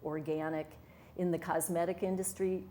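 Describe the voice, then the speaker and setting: Brief: middle-aged woman, low voice, eager and sincere, faint background hiss. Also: flat delivery, moderate pace, warm tone. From Wisconsin, USA. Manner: earnest, enthusiastic, with fillers and restarts. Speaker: interview guest, hypnotherapist and wellness coach. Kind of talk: conversational interview.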